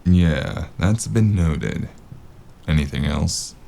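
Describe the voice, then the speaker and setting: An actor playing a weary, sardonic noir investigator in an audio drama, gravelly and dryly deadpan. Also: male voice, very deep voice, takes it slowly, slight background noise, exaggerated voice. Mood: dry, brooding. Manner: dry, understated deadpan.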